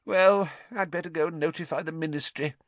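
Man putting on a blustering, puffing official, pitched up. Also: huge pitch swings, normal speed, no room sound. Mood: flustered complaint. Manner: puffing, barking, indignant. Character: blustering, flustered older male government official.

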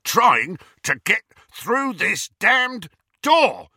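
A man voicing a brutish, hot-tempered, cowardly villain wizard who bellows in rough, ungrammatical speech, in an audiobook. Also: mid-range voice, sing-song, unhurried, sharp tone, no echo, clean studio sound, pitched above the performer's own voice, heavily acted. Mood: furious, demanding. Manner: bellowing, uneducated non-standard grammar.

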